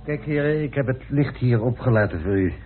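An actor playing a courteous, grave gentleman telling of a family mystery in a radio play. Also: medium pitch, animated, fairly quick, natural voice. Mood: polite, curious. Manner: polite, solemn, storytelling. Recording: muffled, band-limited old radio recording.

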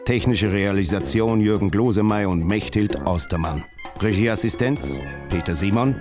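A man's voice: lowish voice, moderate pace, music in the background. A man reading formal scripted radio drama credits as an announcer.

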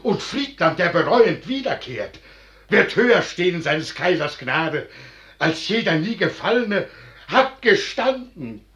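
An actor playing a loyal, anxious officer who pleads deferentially and earnestly in a classical radio drama. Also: male voice, high voice, big pitch swings, measured pace, fairly bright tone, exaggerated voice. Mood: fervent. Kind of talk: classical German stage drama, radio play acting.

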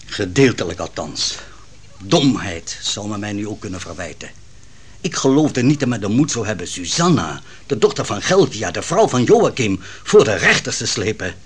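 A man's voice: fairly low pitch, big pitch swings, normal speed, slightly rough. A man acting a proud, troubled royal counsellor defending his wife in a Dutch radio play, formal and theatrical. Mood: bitter, alarmed.

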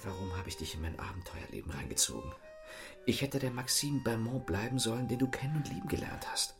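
An actor playing a tender, secretive gentleman thief who speaks in an intimate, earnest hush to his lover in a radio drama. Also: medium pitch, animated, a little fast, vocal fry, over music. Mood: regretful, protective.

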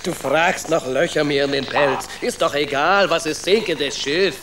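A man's voice: a little high, measured pace, roomy sound, noisy background, lightly acted. A man playing a boastful, carefree travelling rat in a children's radio play, with an Italian accent and theatrical storytelling in broken syntax. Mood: gruff, proud.

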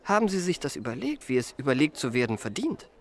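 An actor in a German radio drama playing a polite young confidence man with courteous, measured, carefully phrased speech. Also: medium pitch, big pitch swings. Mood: incredulous. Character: polite, smooth-talking young confidence man, attentive listener.

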